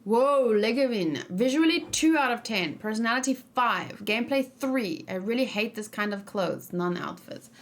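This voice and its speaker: A woman's voice: a little high, animated, moderate pace, hardly any pauses. A young woman streaming and reacting live, chatting casually, reading viewer comments aloud and rambling with frequent fillers. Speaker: streamer reacting to game character.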